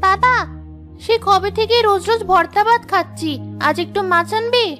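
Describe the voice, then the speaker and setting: A woman's voice: very high-pitched, normal speed, bright, forceful tone, soft background music. A woman voicing a sweet, obedient little village girl in a cartoon, childlike and pleading.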